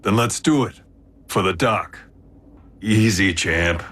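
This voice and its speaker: A man voicing a brash robot warrior in an animated action series, laconic and wry with tough-guy swagger. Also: lowish voice, slow pace, slight background noise. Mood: wry, cocky. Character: brash robot warrior, gruff cocky maverick soldier. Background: loud dramatic music and soundtrack.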